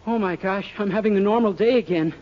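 Man as high, quick, boyish radio wisecracker. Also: natural voice. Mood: meek, dismayed. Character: naive, wisecracking young male shop employee. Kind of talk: 1940s radio comedy acting. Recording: noisy, dull, crackly 1940s radio recording.

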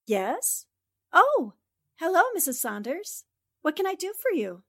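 A woman's voice: high voice, sing-song, measured pace. A woman playing a plucky, self-possessed newspaper columnist in an old-style radio drama. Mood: bright, courteous. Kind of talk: 1940s radio drama acting.

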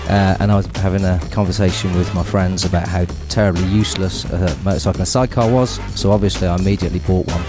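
Easygoing low-voiced male guest. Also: steady tone, fast, hardly any pauses, over music. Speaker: podcast guest, technologist. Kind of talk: casual panel chat.

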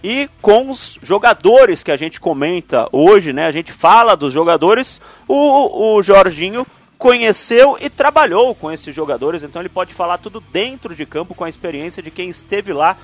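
A man's voice: high-pitched, animated, normal speed, no echo. A man hosting a radio sports talk show, introducing his guest with enthusiasm.

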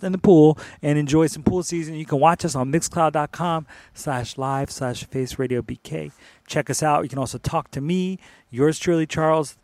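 A man's voice: on the high side, no echo. A man hosting a music radio show, chatting in a loose, playful, rambling way and repeating himself. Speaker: radio DJ host.

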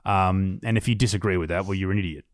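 A man's voice: low voice, steady tone, fast, no room sound, studio quality. An Australian man hosting a podcast in casual chat, blunt and swearing freely in loose run-on talk. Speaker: podcast host.

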